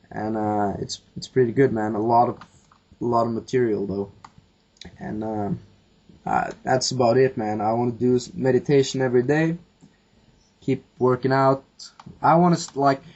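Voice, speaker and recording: A man's voice: mid-range voice, a little mellow. A man hosting a casual podcast chat, rambling along with plenty of fillers and casual swearing. His voice sits in an ordinary middle range, and the recording sounds a little dull and lo-fi. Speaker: podcast host.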